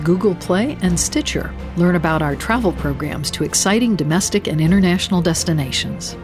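A woman announcer giving a scripted promo read. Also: fairly low pitch. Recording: studio read over music.

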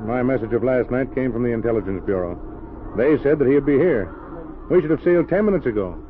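A man's voice: mid-range voice, lively intonation, fast talker, natural voice. A man playing a formal ship's captain. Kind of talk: 1930s radio drama acting. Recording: tinny, noisy old radio recording.

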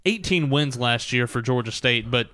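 Smooth-voiced man recapping sports. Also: mid-range voice, animated, moderate pace, bright, forceful tone, dry recording. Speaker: sports show host. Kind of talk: sports talk and game recap.

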